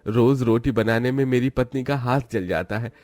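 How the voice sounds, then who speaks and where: A man's voice: medium pitch, moderate pace, a little mellow, no room sound, voice pushed down, lightly acted. A man voicing a timid, humble poor labourer with a hesitant, pleading manner.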